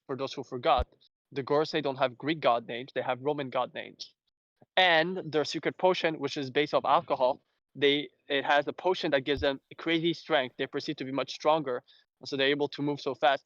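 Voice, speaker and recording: A man from Belgium, a native French speaker with an accent to match, hosting a casual fan discussion in a rambling, halting way. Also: medium pitch, a bit bright, no echo, lo-fi sound. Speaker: host.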